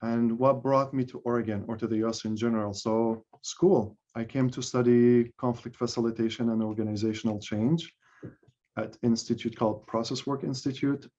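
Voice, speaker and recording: A man's voice: mid-range voice, fairly even intonation, moderate pace, darkish tone. Chatty upbeat guy from palestine hosting an online event, kinda muffled lo-fi audio. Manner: upbeat, chatty, jokes lightly. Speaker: facilitator. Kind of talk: friendly event introduction. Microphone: video call. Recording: dull lo-fi call audio.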